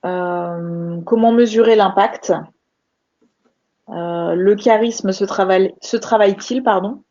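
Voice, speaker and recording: Slow, mellow woman moderating a webinar. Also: medium pitch. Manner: hesitant, many fillers. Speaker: webinar moderator reading audience answers. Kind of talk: reading out questions. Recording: muffled webinar audio.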